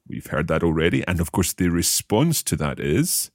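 A man from Scotland leads a relaxed podcast language lesson, talking slowly and repeating each phrase. His voice sits very low but swings widely in pitch. The sound is close and dry. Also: no room sound. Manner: slow, careful, repeats phrases aloud. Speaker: language learner and podcast host.

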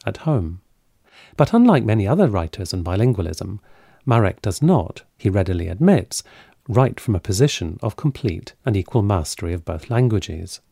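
Low, gravelly man reading. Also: dark tone, dry recording, studio quality. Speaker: podcast host. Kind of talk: scripted introduction.

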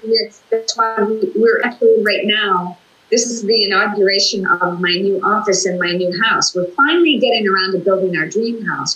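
A woman's voice: medium pitch, fairly bright tone. An older woman, an author and fitness instructor, talking earnestly in a casual interview over a video call from her home office. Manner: chatty, earnest, frequent restarts. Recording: echoey room.

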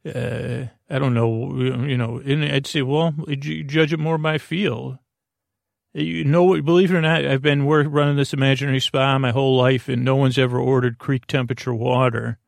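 A man with a creaky voice hosting a bedtime podcast, talking in a meandering, halting, digressive monologue. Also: medium pitch, fairly even intonation, dry recording, clean studio sound. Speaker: podcast host.

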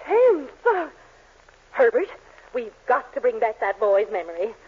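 A woman's voice: a little high, big pitch swings, unhurried, husky, exaggerated voice. An actress playing a domineering, theatrical middle-aged mother in a 1940s radio sitcom. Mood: bossy gushing. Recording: dull 1940s radio broadcast recording with faint hiss.

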